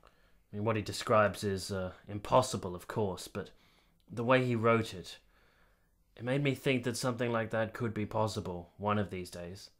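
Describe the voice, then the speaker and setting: An actor playing a reserved, dutiful man in a period radio drama, speaking in a measured, polite and slightly hesitant way. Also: fairly low pitch, natural voice. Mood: thoughtful. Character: reserved, dutiful man in 1870s Italy, guardedly kind.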